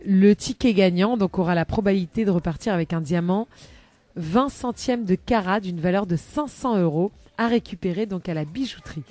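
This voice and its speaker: A woman's voice: lowish voice, dark tone, dry recording. A woman chatting on a live radio show as a columnist, rambling with many hesitations and laughing often, into a studio microphone.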